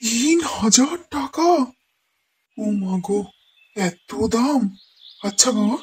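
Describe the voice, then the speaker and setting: A woman playing a poor, worried old male woodcutter in a cartoon, speaking in a slow, fretful, plaintive way. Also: on the high side, lively intonation, breathy edge, full character voice. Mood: dismayed. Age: older. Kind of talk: cartoon moral-story dialogue. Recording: noisy track with birdsong.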